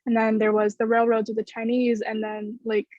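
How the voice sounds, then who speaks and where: A woman in her twenties speaking earnestly and in a measured way as a student panelist on a dull video call. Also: fairly high pitch, completely flat delivery, a little mellow, no echo. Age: 20s. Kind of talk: thoughtful panel discussion. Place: bedroom indoors.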